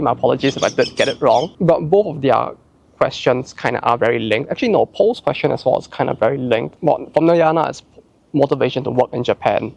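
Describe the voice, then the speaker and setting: Young Singaporean-accented man, gravelly tone, rambling, loose vlog delivery full of "like". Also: mid-range voice, darkish tone, a little hiss or hum. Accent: Singaporean English. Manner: rambling, heavy use of "like", frequent restarts. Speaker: channel host answering viewer questions. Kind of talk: casual chat.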